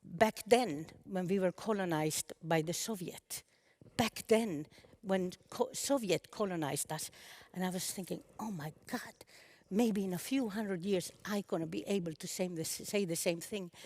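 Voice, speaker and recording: Brief: woman in her fifties, lowish voice, halting earnest panel talk. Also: darkish tone. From Sápmi, northern Sweden. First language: Sami. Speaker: panel speaker. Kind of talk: conference speech.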